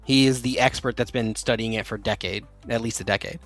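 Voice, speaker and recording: A man running a tabletop game as game master, relaxed and quick to laugh. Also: mid-range voice, soft background music.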